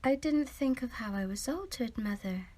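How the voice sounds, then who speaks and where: A woman's voice: fairly high pitch, expressive, normal speed, dark tone. A young woman playing a sweet, artless eighteen-year-old village girl in an audio drama, ingenuous in manner. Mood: abashed. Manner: hesitant, ingenuous.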